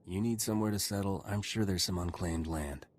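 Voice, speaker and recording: An actor playing a calm, fair-minded male adventurer in a video game, speaking in a terse, measured way. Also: sits low. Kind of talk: scripted video game dialogue. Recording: noisy game capture.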